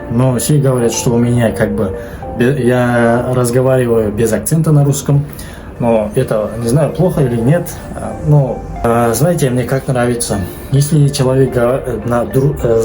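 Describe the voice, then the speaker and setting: Halting man vlogging. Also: mid-range voice. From Kazakhstan. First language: Kazakh. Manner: halting, searching for words, many fillers. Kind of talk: casual vlog talk.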